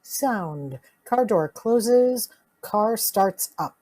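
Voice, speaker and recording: A woman reading out sound-effect cues in a flat, announcing way for a live radio play. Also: mid-range voice, very slow. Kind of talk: reading stage cues aloud.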